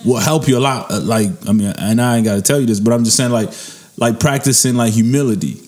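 A man's voice: mid-range voice, fast, darkish tone, faint music behind. Man hosting a loose, slangy interview. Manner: loose, slangy, restarts often. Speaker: interview host. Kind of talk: casual interview talk.

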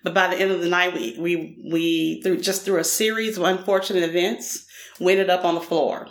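A woman's voice: fairly low pitch, fairly even intonation, normal speed. A middle-aged woman from Nashville, a podcast guest, answering in a candid, casual way with many fillers. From Nashville, Tennessee. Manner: frequent fillers, thoughtful and candid. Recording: roomy echo.

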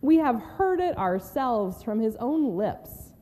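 A woman's voice: on the high side, big pitch swings, slow pace, warm tone. A woman reading an indignant accuser in a church scripture drama. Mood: accusing. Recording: reverberant church hall.

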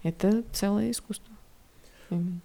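A lively woman chatting in an interview about running her studio. She talks in loose, restarting sentences at an even middle pitch. She laughs often as she talks. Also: normal speed, vocal fry, slight background noise. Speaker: head of a visual effects studio. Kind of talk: casual interview.

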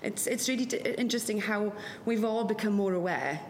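A woman's voice: medium pitch, a little fast. A Welsh woman, whose first language is Welsh, speaking candidly to a live audience, swearing and laughing often. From West Wales. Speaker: panel speaker. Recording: long reverb in a hall, faint noise.

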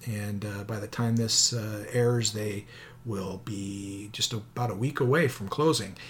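A low-voiced man hosting a book podcast, talking in a relaxed, halting way full of little fillers. Faint noise hums behind him. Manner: relaxed, hesitant with many uh fillers. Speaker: podcast host. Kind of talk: interview podcast.

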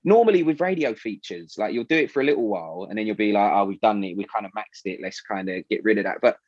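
A man with a South London accent chatting casually about his radio show, animated and full of fillers and restarts. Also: lowish voice, quick pace, dry recording, highs cut off. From South London, England. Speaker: radio presenter, guest. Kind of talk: casual interview chat.

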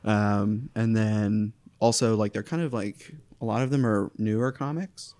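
Male comic guest from Florida, low sleepy voice, rambly and giggly. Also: fairly even intonation, a little slow, a little mellow. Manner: rambling, filler-heavy, giggly. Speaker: stand-up comedian guest. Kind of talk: casual chat.

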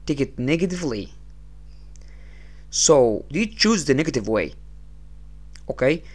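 A man's voice: mid-range voice, big pitch swings. A man from Egypt who is not an English speaker hosts a podcast, giving a motivational religious talk with plain, repeated phrases. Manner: unhurried, repeats phrases, non-native English. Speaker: podcast host. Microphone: small low-cost podcast setup.

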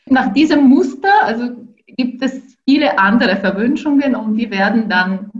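A woman in her forties whose first language is Ukrainian speaks as a researcher in an interview. She talks thoughtfully, pausing with fillers and restarting her sentences. The recording sounds slightly dull. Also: fairly high pitch. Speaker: linguistics researcher. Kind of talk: interview answers.

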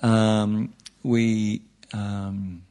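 A man's voice: on the low side, little pitch movement, extremely slow, mellow. A man giving an informal, joking talk as a meditation teacher, often checking in with his listeners. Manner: conversational, joking, checks in with "yeah?" and "you know". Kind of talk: informal spiritual talk to a group.

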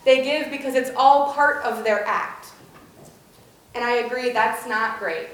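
A woman's voice: a little high, a little slow. A woman pastor delivering a prepared sermon in a church. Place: church, indoors, reverberant. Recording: very echoey church sanctuary.

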